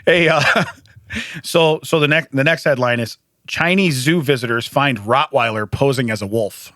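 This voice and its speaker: A man's voice: mid-range voice, normal speed, fairly bright tone, no echo. Chuckling man host, dry close sound. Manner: laughs often, stumbles and restarts while telling stories. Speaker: podcast host. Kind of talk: casual comedic chat.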